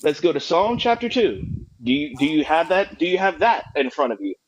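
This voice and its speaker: A man's voice: on the high side, big pitch swings, brisk. A man hosting a live apologetics call-in debate, pressing his point and repeating himself to cut in. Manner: insistent, repeats himself to interrupt. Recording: dull lo-fi stream audio.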